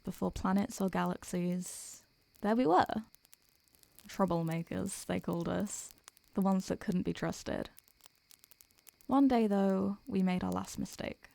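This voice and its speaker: An ancient female succubus, acted by a woman, tender and protective in an intimate roleplay. Her soft voice is warm and dark with a creaky edge, recorded very dry. She speaks gently and without hurry, wistful and affectionate. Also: mid-range voice, dry recording. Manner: gentle, affectionate, unhurried, murmuring endearments. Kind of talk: intimate soothing roleplay audio, ASMR-like.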